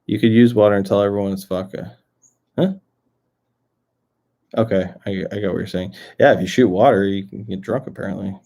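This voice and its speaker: A man's voice: lowish voice, fairly even intonation, a little fast, pauses often, warm tone. A young Canadian man with a Canadian accent, casually chatting and reading viewer comments on a live stream, full of fillers and restarts. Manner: rambling, filler-heavy, many restarts. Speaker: livestream host. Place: outdoors near a lake.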